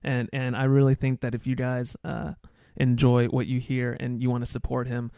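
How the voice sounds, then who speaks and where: A man's voice: mid-range voice, monotone, normal speed, creaky, mellow, no echo, clean studio sound. A man hosting a casual podcast interview, chatty and given to joking, teasing asides. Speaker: podcast host. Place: indoor studio.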